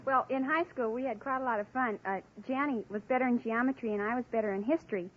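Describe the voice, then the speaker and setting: A woman's voice: a little high, quick pace. A young woman with an American accent, a contestant telling chatty anecdotes on a vintage radio quiz show. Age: young adult. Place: radio studio with live audience. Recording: dull vintage radio recording, faint audience.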